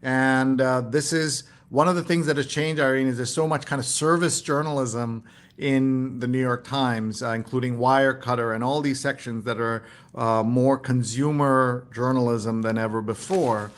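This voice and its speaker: A man hosting a live newspaper read-along, reading headlines aloud and commenting casually in a halting, think-aloud way. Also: medium pitch, fairly even intonation.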